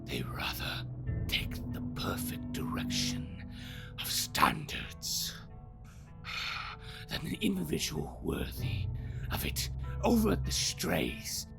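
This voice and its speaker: World-weary immortal spirit, bitter, mocking, gravelly creak, hugely varied pitch, breathy theatrical whispers, audio drama, music behind. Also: huge pitch swings, full character voice. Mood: scornful, ironic. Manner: theatrical, slow, drifting into whispers and sighs. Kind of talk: dramatic audio roleplay monologue. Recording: music under the voice.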